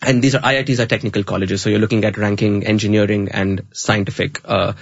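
A man explains at a fast clip in a lowish, slightly husky voice, breaking off into "um"s and restarts. The sound is dry and a little muffled. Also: flat delivery, no echo. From South India, Chennai. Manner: articulate, fast-paced, with frequent "um" and restarts. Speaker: guest. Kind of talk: conversational interview.

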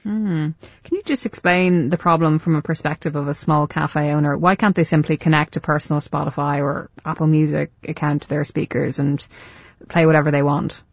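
This is a woman radio presenter with a low-pitched voice. She interviews in a broadcast style, introducing and questioning a guest. The recording is close and very dry, with a slightly muffled top end. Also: steady tone, brisk, husky, no room sound.

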